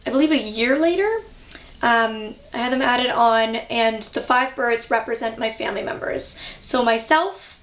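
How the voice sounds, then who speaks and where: A young woman from Canada talks to camera in a relaxed, reflective way, pausing on ums and likes. Her voice sits a little high. The room around her rings with noticeable echo. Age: young adult. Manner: chatty, reflective, many fillers. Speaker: vlogger. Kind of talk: casual vlog talk.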